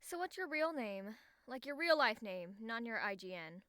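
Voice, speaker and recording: Audiobook succubus girl, played by a woman: bright, forceful, highish voice, smirking, blunt and teasing. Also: big pitch swings, moderate pace, dry recording, studio quality.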